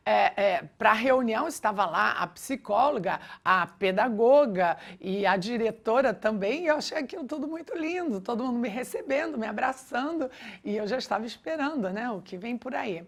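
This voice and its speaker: A woman's voice: fairly high pitch, expressive, moderate pace, vocal fry. A middle-aged Brazilian Portuguese-speaking woman teaching a lesson with personal anecdotes in an emphatic way, acting out reported dialogue. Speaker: teacher of a religious education lesson.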